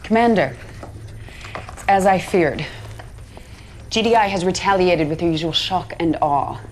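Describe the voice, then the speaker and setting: Woman playing a low-voiced, stern general. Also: darkish tone, a little hiss or hum, close to an everyday voice. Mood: grave, resolute. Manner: measured, formal, resolute. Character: stern, loyal female army general. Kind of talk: video game cutscene acting.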